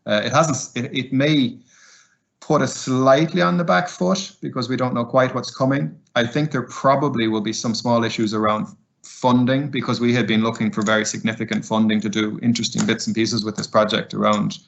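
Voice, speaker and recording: A man's voice: medium pitch, steady tone, moderate pace, creaky. A middle-aged man giving a conversational webinar presentation as a community liaison, often ending points with "right?" and sprinkling in fillers. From Ireland. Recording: muffled lo-fi webinar audio.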